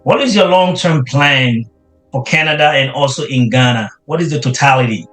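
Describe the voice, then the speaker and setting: A man's voice: medium pitch, moderate pace, a bit bright, soft background music, rough recording. A man hosting an interview, encouraging his guest with frequent affirmations. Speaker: interview host. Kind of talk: casual interview.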